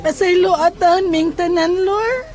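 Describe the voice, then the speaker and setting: Casting: actress as remorseful schoolgirl, extremely high voice, slow pleading delivery, Cebuano accent, horror audio drama. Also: darkish tone. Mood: tearful pleading.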